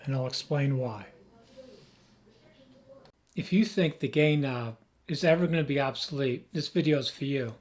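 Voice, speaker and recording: A man who has lost his voice, hoarse, giving an emphatic, rambling off-the-cuff tech explanation as a video host. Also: mid-range voice, moderate pace, long pauses, a little mellow. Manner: emphatic, rambling, with frequent restarts.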